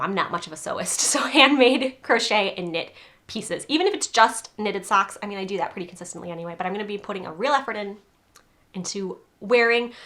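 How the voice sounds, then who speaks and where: Woman crochet vlogger with a slightly congested voice from a cold, bright and chatty, rambling and laughing as she goes. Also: mid-range voice. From New England. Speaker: crochet designer and podcast host. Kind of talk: casual chat to camera.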